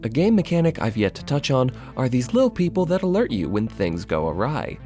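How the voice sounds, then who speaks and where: A man's voice: medium pitch, big pitch swings, fairly quick, dark tone, soft background music. A man narrating a scripted video essay in a conversational, sardonic and emphatic way, with casual asides and swearing.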